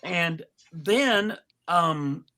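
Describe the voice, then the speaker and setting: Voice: medium pitch, animated, extremely slow, bright, forceful tone, a little hiss or hum. A host narrating a casual live script reading and chatting with a wry, joking manner. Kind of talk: casual live table read with chat.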